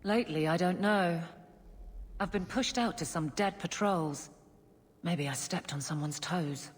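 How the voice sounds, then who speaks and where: Actress as a stern female guard captain, gruff and blunt, lowish voice, long reverb, fantasy game dialogue. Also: measured pace, natural voice. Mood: frustrated. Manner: gruff, matter-of-fact. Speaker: city guard officer.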